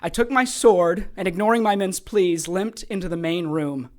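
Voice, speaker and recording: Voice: mid-range voice, moderate pace, darkish tone, natural voice. An actor playing a sadistic sorcerer and former soldier in an audio drama, taunting and theatrical, with gleeful menace. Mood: grim storytelling. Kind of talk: audio drama acting, storytelling monologue.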